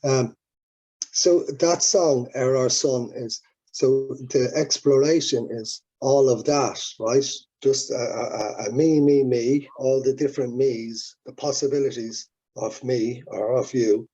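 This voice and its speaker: An Irish man, first language Irish, talks in a loose, reflective way, circling back and restarting his thoughts. His voice is husky and mellow. The sound is dull and lo-fi, like a video call. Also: mid-range voice, steady tone, slow pace. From Ireland. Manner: rambling, reflective, many restarts. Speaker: musician guest. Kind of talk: casual interview talk.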